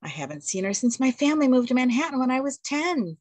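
A woman's voice: sits high, very animated, quick pace, darkish tone, dry recording, pitched above the performer's own voice. A woman reading as an eager, apologetic young wife. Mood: anxious.

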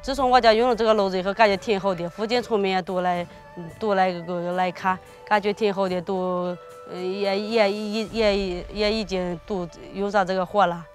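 Hesitant woman speaking in a flat voice. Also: mid-range voice, normal speed, soft background music. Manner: hesitant, many fillers and restarts. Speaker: villager. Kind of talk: casual testimony.

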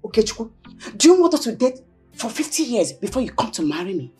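A woman's voice: a little high, very animated, fast, darkish tone, soft background music. An actress playing a frustrated young woman tired of waiting to be married, scolding and mocking. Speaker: actor. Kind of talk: Nigerian film drama acting.

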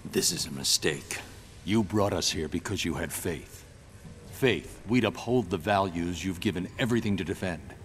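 An actor playing a grim, determined vigilante superhero in a video game, speaking in a terse, grave way. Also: male voice, low voice, moderate pace, fairly bright tone, a little hiss or hum. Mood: stern, urging. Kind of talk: dramatic video game cutscene acting.

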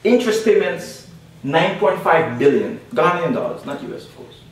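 A man's voice: on the high side, expressive, moderate pace. A young man from Guyana giving an academic panel presentation, emphatic and repeating key points with frequent "all right?" check-ins. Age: young adult. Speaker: panel speaker. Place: lecture room at a panel event. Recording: very echoey room audio from far off with faint noise.

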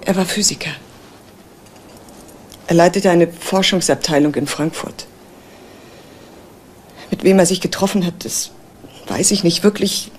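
A woman's voice: lowish voice, unhurried, pauses often, darkish tone, breathy edge. A woman acting the part of a professor's anxious, defensive daughter in a German crime radio drama, hesitant and pleading. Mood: defensive, strained.

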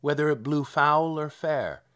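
A man reading narrative poetry aloud in a dramatic recitation, with deliberate phrasing and pauses. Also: on the high side, a bit bright. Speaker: podcast host and poetry reader.

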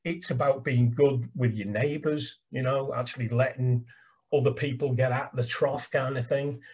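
A man's voice: medium pitch, steady tone, moderate pace, a little mellow, slightly rough. An older man with an English accent, chatting enthusiastically over a video call about birdwatching, repeating words as he talks. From England. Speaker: birdwatching guide. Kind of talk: casual video call chat. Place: small room at home. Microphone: webcam on a video call. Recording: laptop video call audio.

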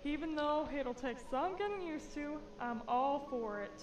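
A woman's voice: high-pitched, animated, unhurried. A woman playing a supportive, down-to-earth female farm pony in a cartoon fan audio drama. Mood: accepting. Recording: distant, echoey, noisy room.

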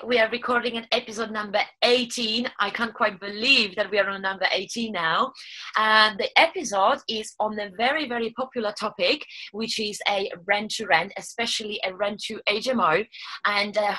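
Bright-voiced upbeat woman podcast host. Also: mid-range voice, poor audio. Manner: upbeat, laughs often, hesitant fillers. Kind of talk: podcast hosting. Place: home studio, indoors. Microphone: large studio mic on a boom arm.

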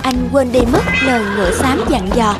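High-voiced woman narrating a fairy tale. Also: animated, moderate pace, loud music behind the voice. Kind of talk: scripted storybook narration.

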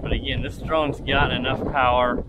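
A man's voice: mid-range voice, little pitch movement, moderate pace. A man giving casual, rambling commentary while flying a drone outdoors, with a furry windscreen on his mic. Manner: chatty, rambling, many fillers and restarts. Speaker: drone reviewer. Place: outdoors in a windy field. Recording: loud wind noise in mic.

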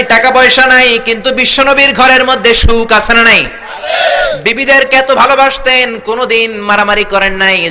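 Piercing, high-voiced male preacher. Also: pushed and bright. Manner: emphatic, declamatory, repeatedly prompting listeners to respond. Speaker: Islamic preacher. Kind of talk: fervent sermon storytelling with call-and-response to a crowd.

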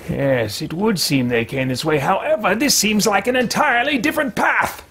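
A man's voice: high-pitched, expressive, normal speed, sharp tone, slight background noise, pitched above the performer's own voice. A man in his thirties roleplaying a formal, meticulous, irritable wizard adventurer in a video game, with stilted theatrical speech. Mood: musing, deliberate. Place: small room at home with shelves.

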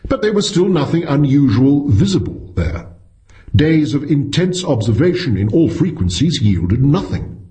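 Male audiobook narrator, medium-pitched voice, highly varied intonation, scripted sci-fi storytelling. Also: a little mellow. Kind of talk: scripted narration of a science fiction short story.

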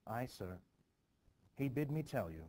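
A man's voice: medium pitch, very animated, unhurried, dark tone, slight background noise. A man reading the part of a dutiful young village girl, a deferential messenger in a stage play. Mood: timid, dutiful.

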